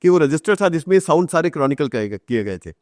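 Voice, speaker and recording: A man's voice: fairly high pitch, very animated, quick pace, darkish tone, no room sound, studio quality. A man with an Indian accent tells stories in an interview, switching between Hindi and English with emphatic repetition. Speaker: author, podcast guest. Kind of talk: conversational storytelling in an interview.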